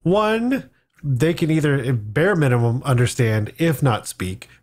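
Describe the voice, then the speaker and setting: A man's voice: medium pitch, big pitch swings, measured pace. A man giving opinions in a casual discussion, with a dryly sarcastic way of talking. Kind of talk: casual tabletop game discussion.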